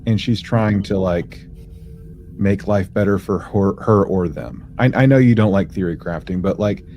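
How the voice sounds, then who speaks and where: Low, mellow man in casual discussion. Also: moderate pace, a little hiss or hum. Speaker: podcast co-host.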